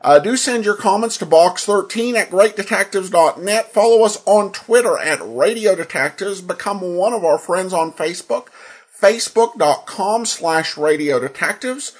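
An American man hosting a podcast with informal commentary, hesitant and full of filled pauses. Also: sits high, lively intonation, slightly rough. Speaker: podcast host.

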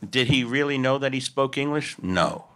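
A man's voice: mid-range voice, moderate pace, a bit bright, clean tone. Man musing through a film Q&A. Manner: musing, answers his own rhetorical questions. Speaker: film director at a Q&A. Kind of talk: casual panel Q&A answers.

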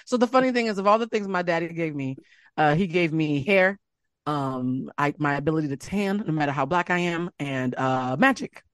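A woman's voice: sits low, moderate pace, dry recording, clean studio sound. A woman hosting a podcast in casual chat, telling stories and acting out the people in them. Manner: animated storyteller, acts out quotes. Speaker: podcast host.

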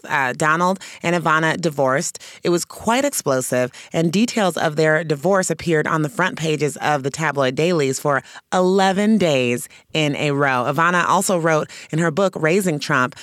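A woman hosting an entertainment news show, with brisk, conversational presenting. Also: low voice, no room sound, clean studio sound.

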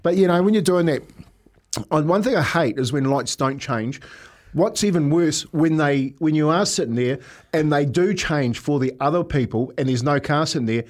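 Middle-aged male Kiwi radio host, higher-pitched, animated storyteller with an easy chuckle, casual banter. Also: moderate pace. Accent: New Zealand. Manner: animated, jokey storyteller who often chuckles while talking.

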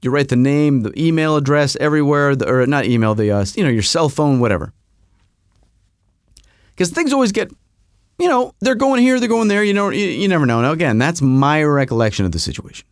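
A man's voice: a little high, big pitch swings, fairly quick, dry recording, clean studio sound. A man hosting a podcast who tells a rambling comic story full of self-mocking asides. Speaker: podcast host.

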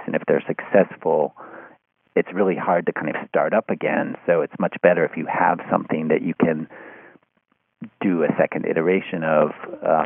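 A man speaking as an interview guest in a thoughtful, halting way, often restarting his sentences. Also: sits low, gravelly, no echo. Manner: hesitant, halting, with repeated false starts. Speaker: podcast guest, media critic. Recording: phone-like, thin band-limited line.

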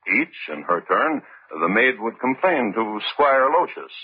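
A man narrating an old-time radio programme as host, with droll deadpan delivery and measured dramatic pauses. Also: mid-range voice, slightly rough, phone-quality sound. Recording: thin, band-limited old radio recording.

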